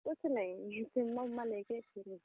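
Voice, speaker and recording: A woman's voice: fairly high pitch, fairly even intonation, moderate pace. A woman talking casually on a private phone call, in colloquial, often short answers. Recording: noisy phone call recording.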